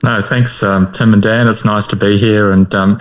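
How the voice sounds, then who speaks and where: A man's voice: fairly low pitch, fairly even intonation, normal speed, low-quality recording. A middle-aged man from New Zealand with a New Zealand accent, a podcast guest telling his story with self-deprecating humor. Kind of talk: casual podcast interview.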